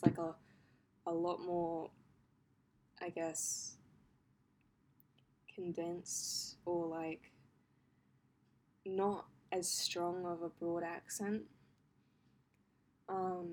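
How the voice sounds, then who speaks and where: A young woman talks with a softened, posh Australian accent, her voice sitting slightly low. She rambles casually, filling gaps with "um" and "like." A faint hum runs under the recording. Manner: chatty, rambling with many ums and likes, laughs often. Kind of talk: casual talk to camera about her accent.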